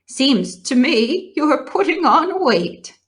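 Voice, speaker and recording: A woman's voice: high voice, sing-song, slow, voice pushed up, exaggerated voice. A woman voicing an old female sheep in a storybook, blunt, gossipy and unsentimental, with a drawling matter-of-fact manner. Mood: blunt, grim.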